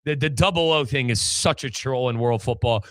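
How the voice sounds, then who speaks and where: A man's voice: medium pitch, normal speed, a bit bright, dry recording, clean studio sound. An American man hosting a sports show, talking soccer in a casual, chatty, slangy way. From United States. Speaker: sports podcast host and play-by-play commentator. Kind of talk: casual, animated soccer discussion.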